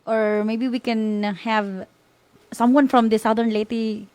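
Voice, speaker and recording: A woman's voice: fairly high pitch, level tone, slow, darkish tone, no room sound. A woman with a Filipino accent co-hosting a live session in a casual way. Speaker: co-host.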